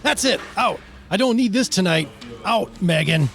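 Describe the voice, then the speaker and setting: A hot-tempered small-town sheriff, played by a man in a radio play. He scolds and blusters in a fairly high voice, with faint music underneath. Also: lively intonation, moderate pace. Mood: exasperated. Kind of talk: amateur radio play reading.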